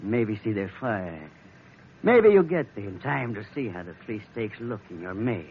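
A man's voice: lowish voice, sing-song, moderate pace, breathy edge, full character voice. An actor playing a ruthless male smuggler villain who speaks broken English in a taunting way. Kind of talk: 1940s radio acting. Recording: muffled old radio broadcast, hiss.

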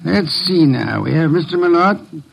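An actor playing a shrewd, authoritative middle-aged detective boss who questions people deliberately in a classic radio drama. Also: male voice, a little high, lively intonation, vocal fry, heavily acted. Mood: probing, stern. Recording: muffled old radio broadcast recording.

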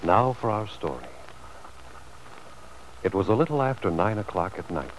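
A man narrating an old-time radio drama from a script in a measured storytelling manner. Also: fairly low pitch, sing-song. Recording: faintly noisy vintage radio recording.

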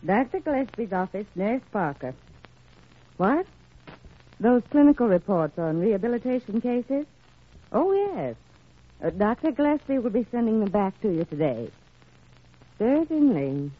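Animated gossipy radio-drama nurse. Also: female voice, on the high side, a little slow, pauses often, breathy edge, heavily acted. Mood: businesslike. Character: chatty, gossipy, efficient female office nurse. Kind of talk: 1940s radio drama acting. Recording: muffled, noisy old radio recording.

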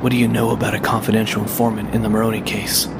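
An actor playing a grim, guarded vigilante detective, narrating and speaking tersely in an audio drama. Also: male voice, completely flat delivery, racing, heavy background noise. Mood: brooding, grim. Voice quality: gravelly, low. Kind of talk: audio drama first-person narration and dialogue. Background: loud music.

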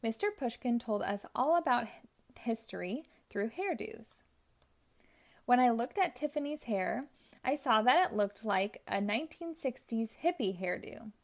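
A woman reading a children's picture book aloud, narrating in a gentle, expressive storytelling manner. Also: on the high side, a little slow. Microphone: audio only, close mic.

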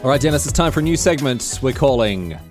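A man's voice: medium pitch, expressive, fast, music in the background. A young man with a city Australian accent, co-hosting a podcast in a casual, joking way with lots of restarts. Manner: chatty, joking, many restarts. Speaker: podcast co-host. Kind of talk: casual chat.

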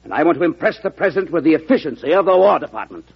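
An actor playing a vain, bossy, defensive government war secretary with a pompous, imperious delivery in an old-time radio drama. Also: male voice, fairly high pitch, expressive, rapid-fire, creaky. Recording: dull old radio broadcast recording.

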